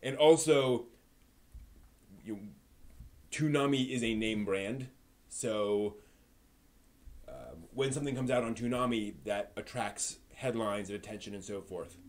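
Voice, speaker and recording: A man's voice: on the low side, measured pace, long pauses, a little mellow. A man hosting a live stream, talking through anime reviews and discussion in a conversational way.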